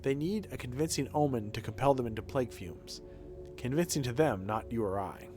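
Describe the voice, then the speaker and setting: A man voicing a proud, grave young aristocratic heir from a video game, speaking in a formal, measured, solemn way. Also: medium pitch, expressive, natural voice. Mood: explaining. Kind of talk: dramatic reading of game dialogue. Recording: game music behind the voice.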